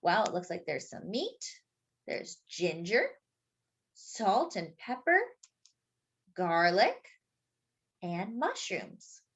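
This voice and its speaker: A woman's voice: medium pitch, very animated, takes it slowly, pauses often, fairly bright tone, husky, highs cut off. A woman hosting story time, reading a picture book expressively for young children, stretching key words and adding simple explanatory asides.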